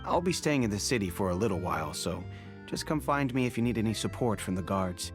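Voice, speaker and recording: A man's voice: on the low side, animated, brisk, music in the background, natural voice. An actor playing a composed, affable male guard officer in a video game, assured and matter-of-fact.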